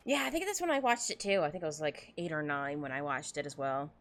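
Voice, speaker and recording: A woman's voice: low-pitched, animated, fast, a little hiss or hum. A young woman casually commenting while she plays a game, giggling and rambling as she reacts. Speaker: game streamer.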